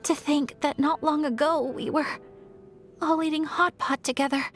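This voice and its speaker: Anime game role: young noblewoman, woman acting, high-pitched, gracious, measured and worried, with firm resolve. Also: fairly even intonation, soft background music, close to an everyday voice. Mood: resolute, anxious. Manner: formal, earnest, measured diction.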